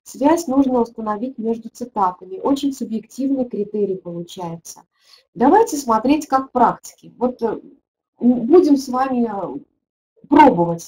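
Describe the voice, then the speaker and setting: A middle-aged woman, fairly high-voiced, speaks into a laptop or webcam mic from an indoor room. She runs an online teaching webinar, reading out questions and thinking aloud with plenty of small hesitations and "so"s. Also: dark tone, a little hiss or hum, low-quality recording. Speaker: teaching methodologist leading a webinar.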